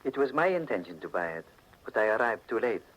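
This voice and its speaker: An actor playing a gaunt, menacing collector who speaks with formal, measured politeness in 1940s radio drama style. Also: male voice, on the low side, very animated, low-quality recording. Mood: suave menace.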